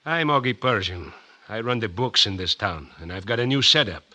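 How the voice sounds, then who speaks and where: A man's voice: on the low side, expressive, fairly quick, sharp tone, full character voice. An actor playing a possessive, menacing bookie boss in a 1940s radio crime drama, curt and bossy like a tough guy. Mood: brisk, businesslike. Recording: vintage 1940s radio recording.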